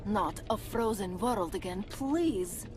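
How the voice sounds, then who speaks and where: A woman's voice: mid-range voice, expressive, slow, over music. An actress playing a playful, sardonic female ghost companion in a video game, teasing and sarcastic.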